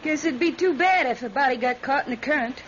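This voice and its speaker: A woman's voice: high-pitched, fast, close to an everyday voice. An actress playing a callous, evasive farm wife and stepmother with a rural Southern American accent in a vintage radio drama. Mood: cool, sly. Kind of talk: 1940s radio drama acting. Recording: muffled, noisy old radio recording.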